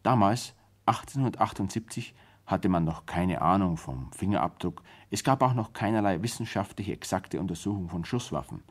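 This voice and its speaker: A man, a radio play director, introducing a drama in a measured, explanatory, formal 1970s radio style. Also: sits low, animated, slightly rough.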